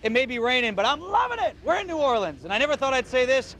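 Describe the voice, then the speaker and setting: A man's voice: extremely high pitch, big pitch swings, quick pace, a bit bright. A male television news reporter giving an informal live report in a joking, conversational way. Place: outdoors on a city street in rain. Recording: outdoor live shot with ambient noise.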